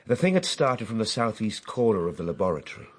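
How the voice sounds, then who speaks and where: An actor playing a weary, intense Victorian gentleman time traveller, telling his tale dramatically in short, halting phrases for a radio drama. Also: on the low side, sing-song. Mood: reflective. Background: music.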